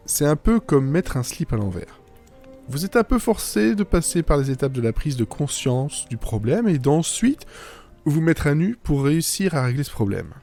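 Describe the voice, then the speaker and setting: A man's voice: on the high side, sing-song, moderate pace, warm tone. A man delivering a scripted first-person monologue as a writer-narrator, whimsical and self-mocking, with playful asides. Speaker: writer narrating own fiction podcast. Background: music.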